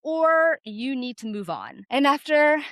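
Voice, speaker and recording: A woman in her forties with a naturally loud voice, frankly telling a personal story on her vlog with lots of casual fillers. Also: high-pitched, sing-song, measured pace, dry recording, clean studio sound. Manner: chatty, frank, many "like" fillers. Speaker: vlogger.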